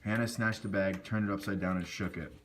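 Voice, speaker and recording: Deep male voice, scripted storybook narration, puppet show, slightly noisy amateur recording. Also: fairly even intonation, brisk.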